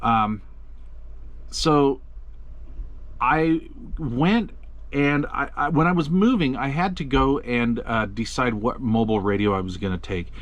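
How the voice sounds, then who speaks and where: A man talks through the front panel of a radio in an easygoing, unscripted way. He pauses often with ums and uhs, and his voice sits in an ordinary middle pitch. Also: no room sound, muffled recording. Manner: relaxed, chatty, frequent ums and uhs. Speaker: radio reviewer. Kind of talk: casual product walkthrough.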